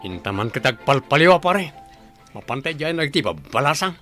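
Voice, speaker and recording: A man's voice: a little high, big pitch swings, moderate pace, sharp tone, soft background music. A man acting a selfish, grumbling husband with a roving eye, in an Ilocano-accented radio comedy drama. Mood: smug.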